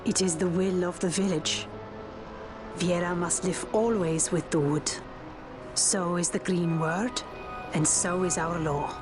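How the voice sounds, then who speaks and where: An actress playing a stern, aloof female leader of a forest people in a video game, speaking in measured, formal, archaic phrases. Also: on the low side, steady tone, music in the background, natural voice. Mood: haughty, stern.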